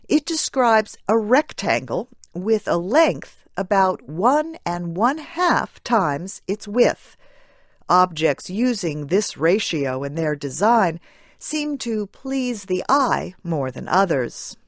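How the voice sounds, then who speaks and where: A woman narrating a scripted English lesson in a measured, clearly phrased way for learners. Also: mid-range voice, dry recording, clean studio sound.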